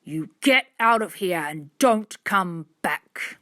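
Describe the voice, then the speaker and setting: Woman putting on a gruff, furious, menacing man. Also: medium pitch, animated, takes it slowly, bright, forceful tone, no room sound, studio quality. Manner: gruff, curt, threatening. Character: furious, menacing man, manager of a meat cannery. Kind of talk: dramatised storybook narration.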